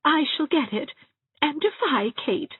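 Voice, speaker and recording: Genteel older aunt a female narrator's character, timid and plaintive, high swooping voice, thin narrow-band audiobook sound. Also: very animated, a little slow, dry recording. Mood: timidly defiant. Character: timid, wistful older aunt, girlish and easily hurt.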